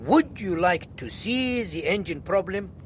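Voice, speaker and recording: Radio role: polite, secretive skipper, high unhurried voice, apologetic hesitations, rumbling noisy setting. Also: male voice, animated. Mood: courteous, uneasy. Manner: courteous, halting, apologetic. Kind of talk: radio drama acting. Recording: noisy vehicle-like rumble behind the voice.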